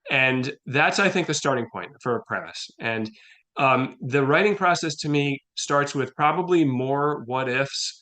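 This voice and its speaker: A middle-aged man talking casually as a playwright guest in an interview, telling anecdotes in an animated way and acting out quotes. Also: medium pitch, unhurried.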